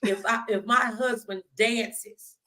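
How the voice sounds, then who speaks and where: A woman hosting a casual talk show, speaking in an expressive, folksy, emphatic way. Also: fairly high pitch, takes it slowly. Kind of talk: casual motivational interview chat.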